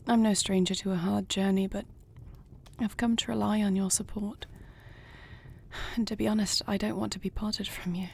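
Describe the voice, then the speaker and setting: A woman playing a noble, devoted warrior queen in an intimate fantasy audio roleplay, husky and breathy, speaking in measured, earnest, formal phrases. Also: medium pitch, little pitch movement, a little mellow, a little hiss or hum, lightly acted. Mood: earnest, reassuring.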